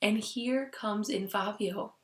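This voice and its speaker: A woman teacher reading a picture book aloud to children, expressively, with hushed asides. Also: mid-range voice, slow. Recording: roomy, echoing sound.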